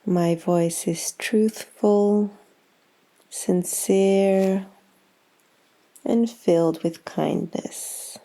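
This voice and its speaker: A woman guiding a yoga nidra meditation in a calm, soothing, unhurried manner with long pauses. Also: medium pitch. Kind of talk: guided meditation.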